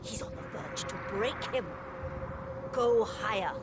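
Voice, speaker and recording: A woman's voice: medium pitch, animated, lightly acted. A woman voicing a cold, imperious female overseer who coolly goads on cruel experiments in a video game. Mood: ruthless, insistent. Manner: imperious, measured, contemptuous. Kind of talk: dramatic video game acting. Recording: loud music over the voice.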